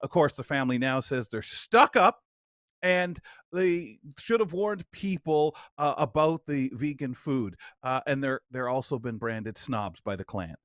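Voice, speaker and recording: A man's voice: medium pitch, expressive, measured pace, a bit bright, no room sound, studio quality. A man hosting a radio magazine show, telling stories with a theatrical delivery. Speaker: talk show host.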